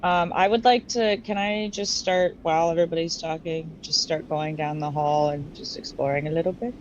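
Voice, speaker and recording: Lowish-voiced woman playing a tabletop game. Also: steady tone, moderate pace. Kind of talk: casual game chat. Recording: dull, slightly noisy audio.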